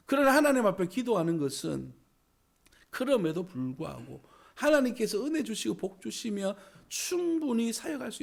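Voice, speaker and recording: A male pastor preaching a sermon in a small church, hoarse from a cold, with emphatic rising exclamations. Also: sits high, a little mellow.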